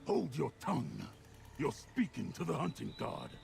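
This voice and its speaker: An actor playing a fierce, gruff beastfolk warrior in a video game, commanding and scornful in manner. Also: male voice, mid-range voice, wildly swooping pitch, normal speed, darkish tone, faint music behind, exaggerated voice. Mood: stern. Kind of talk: game voice acting.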